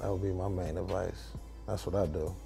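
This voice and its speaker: A man in his thirties with a very dark, relaxed voice and a gravelly edge speaks in an interview. He gives measured, matter-of-fact advice over faint music. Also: sits low. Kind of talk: documentary interview, giving advice. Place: small music studio.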